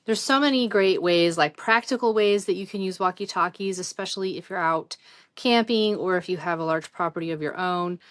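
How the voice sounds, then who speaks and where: A woman's voice: fairly low pitch, brisk, no echo. Casual woman product reviewer, dry close sound. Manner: rambling, conversational, informal.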